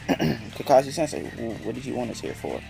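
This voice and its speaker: A man reading the lines of a young female ninja student in his own raspy voice, a bit hesitant. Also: medium pitch, expressive, normal speed, over music, rough recording. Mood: unsure.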